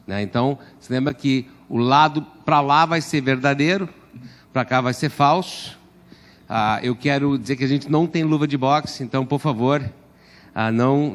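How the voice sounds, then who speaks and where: A man teaching a church class in a casual, joking way, often correcting himself and raising his voice to direct the group; Portuguese is not his first language. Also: mid-range voice. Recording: long reverb in a hall.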